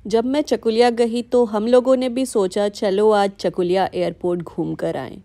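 A woman with an Indian accent reading scripted documentary-style narration for a vlog in a measured, explanatory way. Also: medium pitch, steady tone, mellow. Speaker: vlogger narrating.

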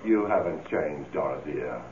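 A lovesick, coaxing ghost of a cheating husband in an old radio play. The voice is deep and unhurried, pleading theatrically. It sounds boxed-in and tinny, like an old broadcast. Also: male voice, expressive, darkish tone, a little hiss or hum. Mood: amorous, coaxing. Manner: pleading, smooth-talking, theatrical. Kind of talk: old radio drama acting.

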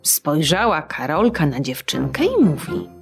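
A woman narrating a children's audiobook in an expressive storytelling manner. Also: sits low, a little slow, over music. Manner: lively, animated storytelling.

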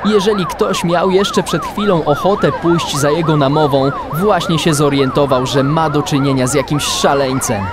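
Male narrator for a kids' radio play, highish pitch, hugely varied intonation. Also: wildly swooping pitch, moderate pace, hardly any pauses, very noisy. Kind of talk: dramatic scripted narration for children's audio drama. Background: loud music.

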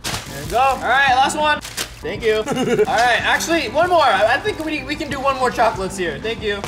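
A man's voice: very high voice, very animated, normal speed. A young man hosting a goofy challenge vlog, joking and giggling as he talks to viewers from a hot tub on an indoor set. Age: young adult. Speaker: YouTube host.

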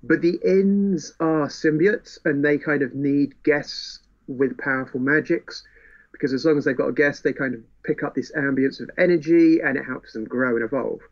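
A man's voice: on the high side, normal speed. A man in a casual book discussion, telling the story with wry relish. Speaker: podcast co-host. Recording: dull, muffled lo-fi audio.

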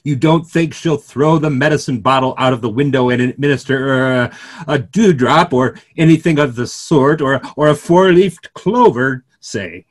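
A middle-aged man playing an earnest, gently ironic clergyman in a play reading, wordy and rhetorical. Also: mid-range voice, normal speed, close to an everyday voice. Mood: ironic, arguing. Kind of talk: remote script reading of a stage play. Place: small room at home, video call.

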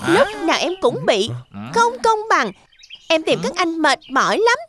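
A woman's voice: extremely high pitch, very animated, measured pace, a bit bright, faint music behind, full character voice. A woman voicing an earnest, responsible little pig boy in a children's cartoon. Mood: complaining. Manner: earnest, admonishing.

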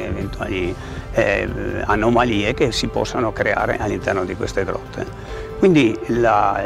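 A man explains things to camera in a halting way, repeating words as he searches for the next. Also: on the low side, vocal fry. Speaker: president of a regional caving federation. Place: outdoors by a rock face.

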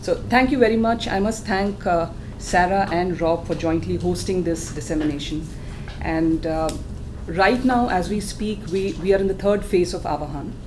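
A soft-spoken woman giving a conference presentation as a panelist in a meeting room. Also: lowish voice, normal speed, background noise.

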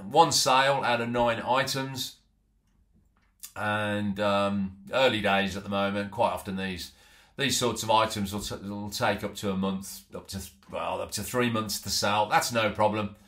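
A man's voice: fairly low pitch, fairly even intonation, measured pace, a bit bright. A middle-aged man, chatting casually through an antiques haul with frequent ums and restarts, filmed at a home office desk. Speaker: antiques reseller. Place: small home office, indoors.

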